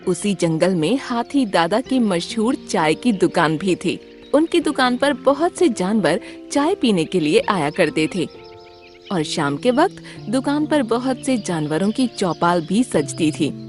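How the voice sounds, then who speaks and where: Lively woman narrating a children's story. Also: medium pitch, measured pace, soft background music.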